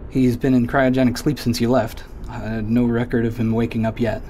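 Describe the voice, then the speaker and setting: A man playing an awkward software technician in a sci-fi audio drama, hesitant with people and matter-of-fact with machines. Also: medium pitch, flat delivery, moderate pace, a little hiss or hum, lightly acted. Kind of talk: naturalistic audio drama acting.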